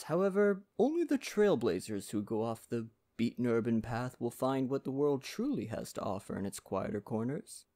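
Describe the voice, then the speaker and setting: A performer voicing a friendly, poetic male lumberjack, chatty and gently courteous, in an ASMR roleplay addressed to the listener. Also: mid-range voice, close to an everyday voice.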